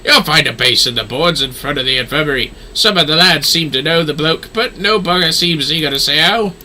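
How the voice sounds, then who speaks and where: Man playing a blunt, salty soldier, bright-voiced. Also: on the high side, a little fast, slight background noise. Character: gruff male fantasy soldiers, blunt and salty.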